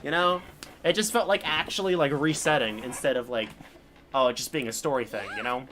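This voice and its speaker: A man casually commentating as a live game streamer, rambling and halting, sounding mildly bored. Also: medium pitch, fairly bright tone, a little hiss or hum. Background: video game music and dialogue.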